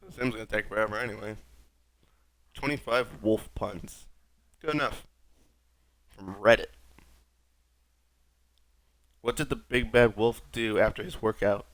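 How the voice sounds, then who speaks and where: A young man with a raspy voice, sick, rambling wryly through casual gameplay commentary as a streamer. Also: lowish voice, fairly even intonation, a little slow, pauses often, a bit bright. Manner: rambling, wry, self-mocking. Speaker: gaming streamer.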